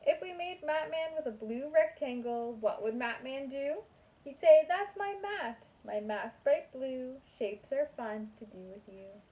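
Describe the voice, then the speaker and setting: A woman's voice: high voice, lively intonation, a little slow, muffled recording. A woman kindergarten teacher reading a picture book aloud to young children in a sing-song manner.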